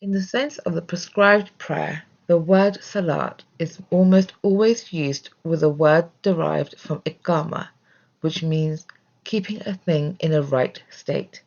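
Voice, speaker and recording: A woman narrates a scripted religious study. Her voice sits on the low side and often creaks into fry. The recording is dull and lo-fi. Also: unhurried, darkish tone. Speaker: reader of religious study text.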